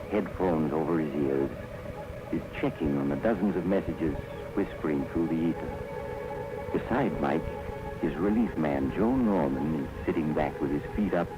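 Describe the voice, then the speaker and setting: Sinister genial storyteller, extremely deep voice, 1940s mystery narration, muffled narrow radio sound. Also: male voice. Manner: slow, ominous, wryly confiding. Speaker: narrator and host of a mystery series. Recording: scratchy, band-limited 1940s radio recording.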